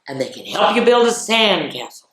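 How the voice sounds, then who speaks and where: A woman's voice: medium pitch, very animated, normal speed, a bit bright. A woman hosting a children's story time, reading picture books aloud and adding chatty little asides. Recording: echoey, roomy sound.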